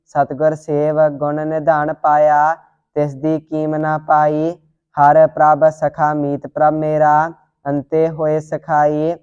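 A student reciting scripture line by line in a steady, deliberate devotional monotone. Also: medium pitch, smooth. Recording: dull lo-fi audio.

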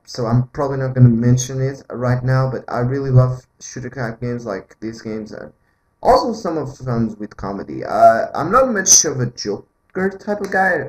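The earnest, halting Portuguese-accented man, a native Portuguese speaker, you would hear introducing his gaming channel from a roomy, echoing bedroom setup. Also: medium pitch. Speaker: gaming video creator. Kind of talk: casual unscripted game commentary.

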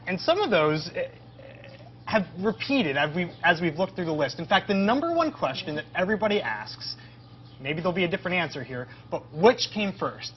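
A man's voice: high-pitched, very animated, normal speed. A man in his thirties hosting an educational tour as an upbeat, chatty presenter, recorded in a farm shed. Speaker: host, interviewer. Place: semi-open metal farm shed. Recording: dull audio with clear background noise.

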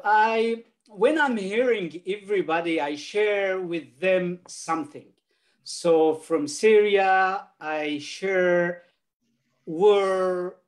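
An older man whose first language is Arabic, giving halting, earnest remarks as a panelist on a video call, with many restarts and fillers. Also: high voice.

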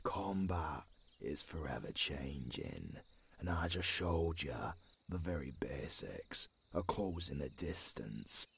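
A man with a British accent playing a tough old werewolf mentor in an audio roleplay, gruff but caring, with a growling, raspy voice and a commanding manner. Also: extremely low pitch, very animated, fairly bright tone, full character voice. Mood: gruff approval. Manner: slow, deliberate, commanding.

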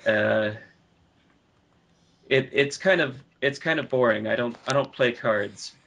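Slightly dull lo-fi recording. Male podcast panelist, mid-pitched, unhurried, hesitant, casual discussion with repeated restarts. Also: vocal fry, a bit bright.

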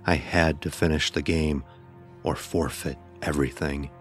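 Very deep-voiced male horror narrator. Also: steady tone, faint music behind. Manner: grave, deliberate storytelling. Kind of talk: scripted first-person horror narration.

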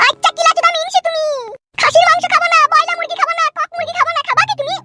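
A woman voicing a quarrelsome young village wife in a cartoon comedy, scolding and complaining, with a pitched-up voice. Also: steady tone, racing, loud music behind the voice. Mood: nagging. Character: nagging, tearful young village wife, affectionate but quarrelsome.

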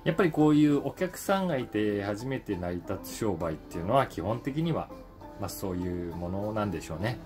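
Low-voiced man chatting reflectively, music behind. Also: sing-song, brisk. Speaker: voice actor and stage actor, channel host. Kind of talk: casual solo talk to camera, daily column.

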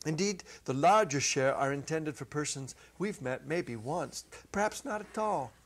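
A middle-aged man giving a dramatic literary reading, deliberate and theatrical, drawing out his words. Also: a little high, expressive. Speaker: story reader. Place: indoor set with holiday decor. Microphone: clip-on lapel mic.

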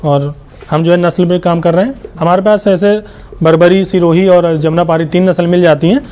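A man's voice: sits high, steady tone, normal speed, a little mellow. A young man with an Uttar Pradesh Hindi accent, a goat farm owner showing his goats and quoting prices in a casual walkthrough, talking like a salesman and leaning on repeated fillers. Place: outdoor goat farm pens.

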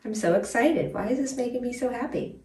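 A woman in her forties chatting casually in an expressive, emphatic way, as a home cleaning vlogger. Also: a little high, brisk. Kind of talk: casual vlog chat and narration while cleaning. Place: small tiled bathroom, indoors. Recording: roomy bathroom echo, distant mic.

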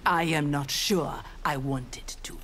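A woman's voice: deep voice, unhurried, fairly bright tone, slight background noise. A woman playing an earnest young ninja warrior in a fighting game, stern, formal and resolute. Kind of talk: fighting game character dialogue. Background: game music.

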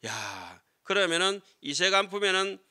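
Bright-voiced monk lecturing a class. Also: male voice, sits high, unhurried, clear, resonant tone. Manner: animated, asks the class questions and answers them himself. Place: lecture hall with seated students. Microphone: desk microphone on stand.

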